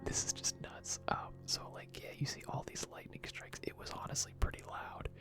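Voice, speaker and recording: A person whispering casual, rambling ASMR gaming commentary in a hushed, chatty way, close to the microphone. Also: moderate pace. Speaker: video game streamer. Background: music.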